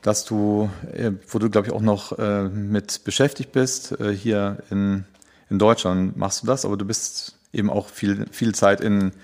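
Low-voiced man hosting a podcast. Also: flat delivery, unhurried, a little mellow. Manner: hesitant, many fillers while introducing guests. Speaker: podcast host. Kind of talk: podcast interview.

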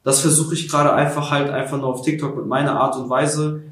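A man's voice: medium pitch, little pitch movement, a little fast, a bit bright. Hesitant young man in a roomy room. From Ukraine. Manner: hesitant, many fillers and restarts. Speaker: guest, social media creator. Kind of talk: casual interview answers.

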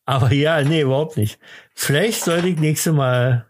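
A man's voice: a little high, a little hiss or hum. A man, perhaps middle-aged, hosting a casual podcast chat in a hesitant, rambling, tongue-in-cheek way. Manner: hesitant, rambling, halting delivery. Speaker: podcast host.

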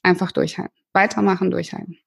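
A woman's voice: on the low side, fairly even intonation, quick pace, gravelly, husky. A woman from northern Germany, a career coach talking enthusiastically as a podcast guest, with lots of fillers and restarts. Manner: warm, enthusiastic, chatty with many fillers. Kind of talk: casual interview talk.

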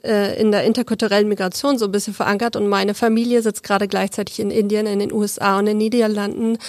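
A woman's voice: mid-range voice, dry recording, studio quality. A woman from Berlin, a student guest, giving brief matter-of-fact answers.

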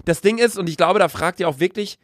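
A young man co-hosting a casual podcast, slangy and quick to laugh, rambling through his points. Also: high voice, no echo, clean studio sound. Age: young adult. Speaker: podcast co-host. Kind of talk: casual banter.

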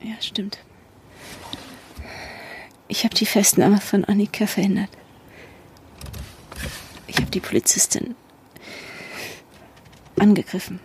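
An actress playing a young undercover officer in an audio drama, guilty and unsure of herself, speaking haltingly. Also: female voice, mid-range voice, steady tone, a little mellow, a little hiss or hum. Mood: confessing, tense. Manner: hesitant, halting. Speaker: actor in fiction podcast. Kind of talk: naturalistic German audio drama acting.

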